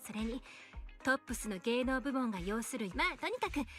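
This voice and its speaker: A woman's voice: on the high side, rapid-fire, fairly bright tone, faint music behind, lightly acted. An actress voicing a young female character in an anime-style game story. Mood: conversational.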